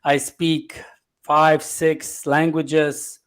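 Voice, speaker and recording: A man's voice: on the high side, level tone, drawn-out words, fairly bright tone, clear, resonant tone. A man from Slovenia with a Slovenian accent, answering interview questions conversationally as a guest, in short listed fragments of non-native English. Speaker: podcast guest, life coach.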